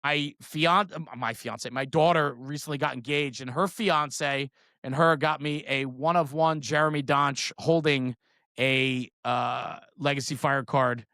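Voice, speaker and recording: A man's voice: medium pitch, steady tone, measured pace, pushed and bright, dry recording, studio quality. A middle-aged man in a casual, enthusiastic show-and-tell chat, speaking informally with slang and often checking for agreement. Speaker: guest, trading card brand founder.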